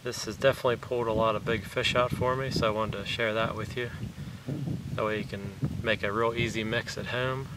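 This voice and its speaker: A man's voice: lowish voice, steady tone, brisk. A man in his thirties casually demonstrating a fishing bait recipe step by step outdoors by a lake, talking plainly with fillers. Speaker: fishing vlogger. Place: outdoors on a lakeshore. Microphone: camera mic from a few meters away. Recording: distant outdoor camera audio with wind noise.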